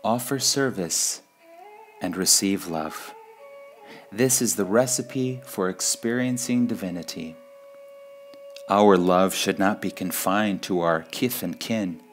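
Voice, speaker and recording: A man reading spiritual sayings in a measured, deliberate devotional style, pausing between phrases. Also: low-pitched, faint music behind. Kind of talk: slow devotional quote reading.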